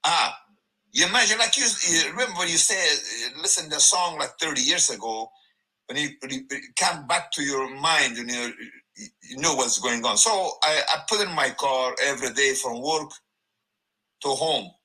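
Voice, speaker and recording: Bright-voiced man, halting and emphatic. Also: mid-range voice, poor audio. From Afghanistan. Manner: halting, many restarts, animated and emphatic. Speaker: guest giving a testimony. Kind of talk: conversational personal testimony.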